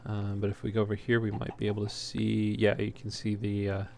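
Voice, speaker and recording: A man's voice: on the low side, monotone, a little fast, darkish tone. A man giving casual, unscripted gameplay commentary as a let's play host, talking in an easygoing, hesitant ramble. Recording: clearly noisy home recording.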